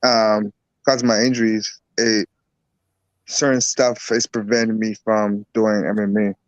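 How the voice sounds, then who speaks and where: A man from Barbados, a guest herbalist and boxer, talking in a relaxed, rambling way with lots of repeats. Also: fairly low pitch, a little slow, no room sound, low-quality recording. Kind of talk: casual interview talk.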